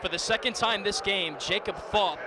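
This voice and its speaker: High-pitched male sports analyst in game noise. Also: moderate pace. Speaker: colour analyst. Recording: noisy live broadcast from the game. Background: clear game noise.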